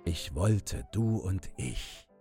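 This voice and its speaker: A man reading a fairy tale as an audiobook narrator, in expressive scripted storytelling. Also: low-pitched, drawn-out words. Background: music under the narration.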